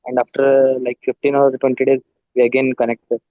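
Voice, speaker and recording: A man with an Indian accent, an English learner on a call, speaking deferentially in halting practice conversation. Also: medium pitch, little pitch movement, dry recording. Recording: narrow, tinny phone-line sound.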